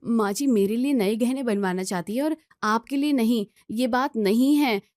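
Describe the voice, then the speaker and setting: A woman's voice: fairly high pitch, moderate pace, a little mellow, no room sound, studio quality, lightly acted. A woman voicing a polite, sensible younger sister-in-law in a family story, placating and reasoning.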